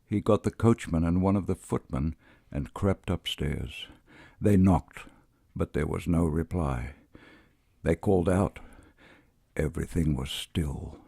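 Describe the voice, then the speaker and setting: Low male voice, slow measured storybook narration, really dry close sound. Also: gravelly, no echo. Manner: measured, expressive storytelling delivery. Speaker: voice actor, audiobook narrator. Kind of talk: literary audiobook narration, reading prose and verse.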